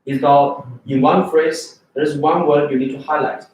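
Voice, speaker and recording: A man's voice: on the high side, normal speed. A man from China with a Chinese accent, teaching an English test class and often checking in with students. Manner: lively, asks students questions often. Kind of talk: classroom lecture. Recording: echoey room from far off.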